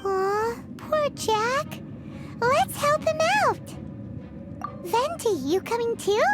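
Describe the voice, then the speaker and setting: Over loud music comes a tiny fairy sidekick from a video game, extremely high and childlike. The delivery is slow and emphatic, spirited and blunt. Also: female voice, expressive, full character voice. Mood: eager, sympathetic.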